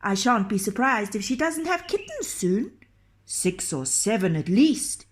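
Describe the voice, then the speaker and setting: Woman as a calm, sly storybook innkeeper. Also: mid-range voice, unhurried, slight background noise. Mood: sly, welcoming. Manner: unruffled, slyly understated. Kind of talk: storybook character voice.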